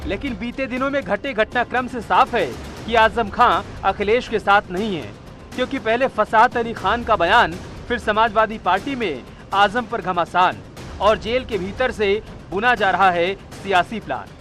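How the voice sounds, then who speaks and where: Guy doing a tv news voiceover, really high voice, dramatic delivery, music under it. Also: moderate pace, sharp tone. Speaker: news reporter. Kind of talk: scripted news report narration.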